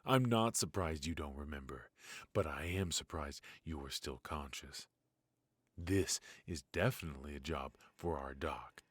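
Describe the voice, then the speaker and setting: A man acting a deep, husky, weary mafia boss. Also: no room sound, heavily acted. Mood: dry, probing. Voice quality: low, husky, breathy. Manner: slow, pausing, sighing, wry. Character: weary, ruthless male mafia boss, exasperated yet fatherly. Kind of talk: intimate ASMR roleplay acting.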